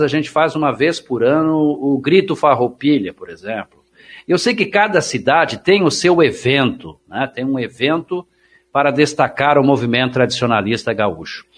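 A male interviewer with a voice of middling pitch. He speaks in a measured way and lays out his points before he hands the question over. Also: expressive, breathy edge. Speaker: interviewer host. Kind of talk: interview conversation.

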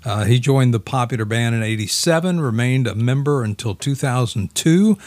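A man's voice: mid-range voice, expressive, normal speed, darkish tone, no room sound. An American man co-hosting a podcast, reading music news with casual banter. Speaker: podcast co-host.

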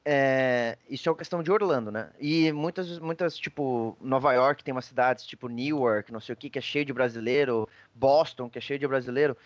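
A man's voice: mid-range voice, a little fast, hardly any pauses, no room sound, muffled recording. A man with a Brazilian accent telling personal anecdotes in a casual, slangy, rambling conversational way.

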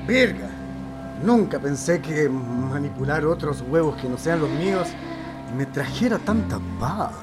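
A woman's voice: low voice, animated, measured pace. A woman acting an amorous, awkward, easily smitten man in a campy parody radio play. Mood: blissful. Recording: loud music behind the voice.

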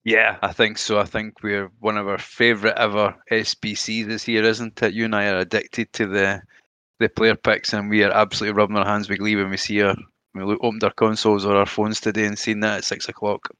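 A man's voice: fairly low pitch, level tone, fairly quick, bright, forceful tone, smooth, no room sound. A man co-hosting a gaming podcast, friendly and enthusiastic, with a noticeable accent he mentions himself. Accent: regional British or Irish. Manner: friendly, enthusiastic gamer talk. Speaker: podcast co-host. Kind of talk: casual podcast chat.

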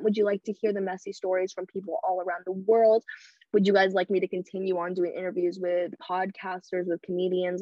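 A woman's voice: mid-range voice, a little mellow, no room sound, lo-fi sound. A young woman hosting her own podcast alone, speaking bluntly and candidly with crude humor. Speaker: podcast host. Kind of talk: casual solo podcast talk. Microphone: podcast microphone.

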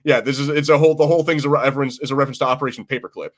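American guy podcasting, medium pitch, casual and animated, swears a bit, does quote bits. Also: rapid-fire. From United States. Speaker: podcast host. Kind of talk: casual chat.

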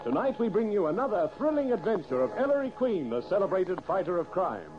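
A man's voice: high voice, very animated, a little fast. A man announcing a 1940s radio program in a grand, dramatic manner. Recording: muffled old radio recording. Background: loud program music.

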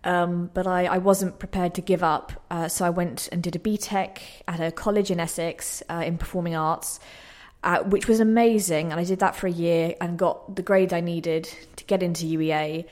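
A young woman speaks as a guest on a radio interview. Her voice sits a little low, and she tells her own story casually, with modest good humour and slight nerves. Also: steady tone, normal speed, darkish tone. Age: young adult. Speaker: interview guest, playwright and actor. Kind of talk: casual, chatty personal storytelling. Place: radio station.

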